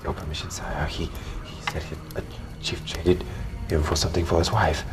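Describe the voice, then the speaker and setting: A man voicing a deferential, hesitant military officer reporting to his king in a fantasy radio play, quiet and halting. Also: very deep voice, flat delivery. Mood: nervous deference. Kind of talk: fantasy radio theater acting. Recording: music behind the voice.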